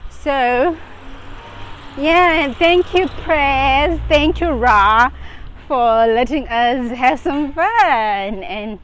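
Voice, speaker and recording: A young woman in her twenties, chatting slowly and a little out of breath as she walks outdoors and talks to her viewers through an earphone mic. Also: fairly high pitch, a little hiss or hum. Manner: chatty, slow, laughing, out of breath from walking uphill. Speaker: vlogger. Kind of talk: casual walking vlog. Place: suburban street outdoors. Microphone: earphone mic, phone at arm's length.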